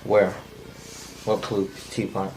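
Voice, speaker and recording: A man reading the part of a menacing, bullying man in a flat, unpolished script read, with a gravelly voice. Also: fairly low pitch, takes it slowly. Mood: demanding. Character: menacing, bullying man demanding car keys. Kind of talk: cold table read of a film script. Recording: faint background noise.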